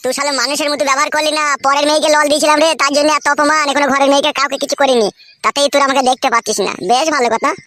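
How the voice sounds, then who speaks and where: A man's voice: extremely high pitch, flat delivery, very fast, sharp tone. A man voicing an aggrieved, sulky young married son in a comic cartoon, ranting crudely and argumentatively. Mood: wounded, sulky. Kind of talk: comic cartoon dialogue in village family quarrel.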